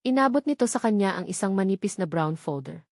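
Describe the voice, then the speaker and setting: A woman narrator reading a scripted romantic drama in a measured, melodramatic storytelling manner. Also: medium pitch, expressive, vocal fry, a little mellow, no echo, clean studio sound.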